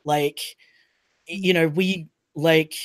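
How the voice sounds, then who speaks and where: Woman on a podcast panel, slightly low voice, earnest casual talk. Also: fairly even intonation, takes it slowly. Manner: informal, earnest.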